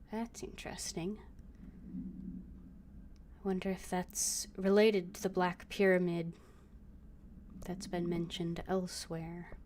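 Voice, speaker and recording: A woman streamer talks through her gameplay in slow, quiet, half-whispered asides, thinking aloud to herself. Her voice sits mid-range, with clear noise running under the stream. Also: medium pitch. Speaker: streamer playing a video game. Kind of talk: live gameplay commentary.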